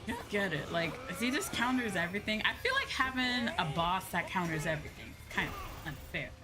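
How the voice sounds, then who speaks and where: A woman's voice: mid-range voice, sing-song, a little fast. A woman streamer giving casual live game commentary, musing chattily and informally to her viewers. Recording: game music clearly behind voice. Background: video game music.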